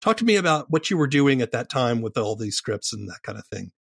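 A man working as an interviewer, asking questions and explaining in a measured way with pauses mid-phrase. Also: mid-range voice, very animated, vocal fry, breathy edge, no echo, clean studio sound. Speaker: interviewer and host. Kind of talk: interview questions and scripted-sounding summary.

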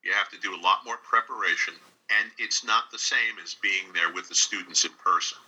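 A man's voice: deep voice, steady tone, a little fast, bright, forceful tone, slightly rough, poor audio. A man from New York answering interview questions over a video call in a conversational, encouraging, plain-spoken way. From New York, United States. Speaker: interview guest, radio drama director and instructor.

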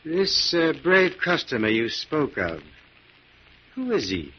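An actor playing a tough, wary moneylender in a 1940s radio crime drama, talking in blunt slang. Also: male voice, fairly high pitch, big pitch swings, slow, husky, heavily acted. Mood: skeptical. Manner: blunt, slangy, hard-bargaining. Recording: muffled old 1940s radio recording.

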